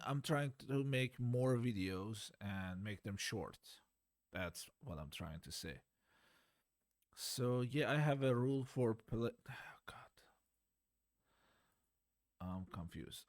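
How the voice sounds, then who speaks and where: Slow low-ish guy walking through his code, keeps hunting for words, pretty dry sound. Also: no room sound. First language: Farsi. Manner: hesitant, searching for words, self-deprecating. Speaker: beginner game developer. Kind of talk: unscripted coding walkthrough.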